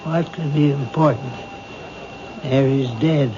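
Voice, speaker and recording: An actor playing a weary, grieving widower in a 1970s radio drama, halting and reluctant. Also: male voice, mid-range voice, fairly even intonation, close to an everyday voice. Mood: grieving, bitter. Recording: noisy, dull old radio recording.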